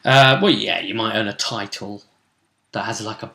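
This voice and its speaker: A man's voice: fairly low pitch, moderate pace, vocal fry, sharp tone. A man hosting a casual, pundit-style discussion of a role-playing game, explaining things chattily and checking for agreement. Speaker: host and game master.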